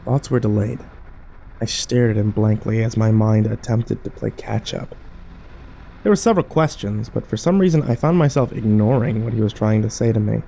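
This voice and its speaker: A man's voice: lowish voice, normal speed, creaky, dark tone, a little hiss or hum, low-quality recording. A man playing a wary, troubled survivor who narrates a horror audio drama in a colloquial, brooding way. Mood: dazed, subdued. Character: troubled, wary male survivor telling his story.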